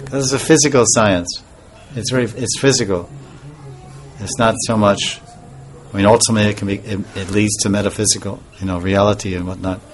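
A man giving an informal devotional talk as a spiritual teacher, soft-spoken and rambling, with pauses and restarts. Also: fairly low pitch, slight background noise.

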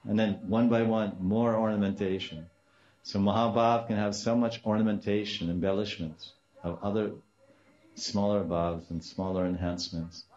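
Older man with a deep voice. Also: steady tone, normal speed, a little mellow. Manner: storytelling with repeated phrases and Sanskrit terms. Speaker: devotional teacher. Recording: dull, slightly muffled lo-fi recording.

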